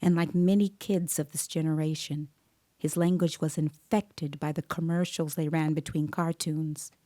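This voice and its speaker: A woman playing a composed, observant child interviewer, narrating an audio drama in a measured, deliberate way. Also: low-pitched, steady tone, warm tone, natural voice. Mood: reflective. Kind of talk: scripted dramatic first-person narration. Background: musical scoring.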